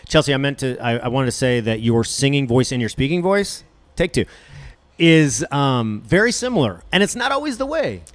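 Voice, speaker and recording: A man's voice: mid-range voice, very animated, normal speed, no echo. A young man hosting a casual backstage interview, rambling and joking as he asks questions. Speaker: radio host interviewer.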